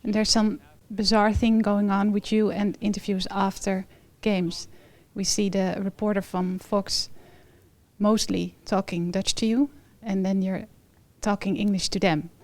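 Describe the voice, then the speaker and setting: A woman's voice: medium pitch, flat delivery, unhurried, a little mellow, dry recording. A woman interviewing a football player, asking short, direct questions.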